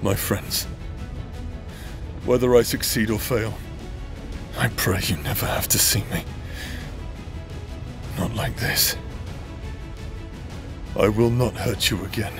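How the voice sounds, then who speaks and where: A man's voice: fairly low pitch, slow pace, creaky, long pauses, over music. A man acting a cursed warrior in a fantasy audio drama, solemn and wry, sworn to resist a demon. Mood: solemn, resolute.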